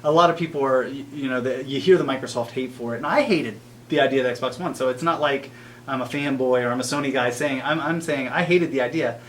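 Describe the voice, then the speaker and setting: Man chatting casually over clear background noise. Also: mid-range voice, quick pace. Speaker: podcast co-host. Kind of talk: casual gaming discussion. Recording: clearly noisy home recording, humming.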